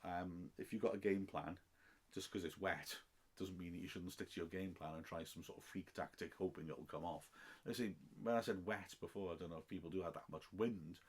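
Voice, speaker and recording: A man's voice: low-pitched, steady tone, a little fast, breathy edge. A middle-aged man with a Welsh accent, a football commentator chatting casually and self-deprecatingly through listener questions on a podcast. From Wales. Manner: chatty and self-deprecating, with frequent ums and restarts.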